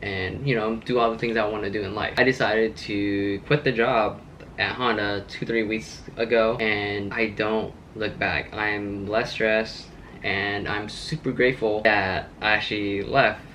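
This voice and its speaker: A man in his late twenties talks straight to his viewers in a loose, rambling way, drifting between thoughts with easy fillers. His voice sits somewhat low. A faint hum of background noise runs under the recording. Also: flat delivery, a little slow, pushed and bright. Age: 20s. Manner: rambling, laid-back, lots of "like" fillers. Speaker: car vlogger. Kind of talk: casual vlog chat.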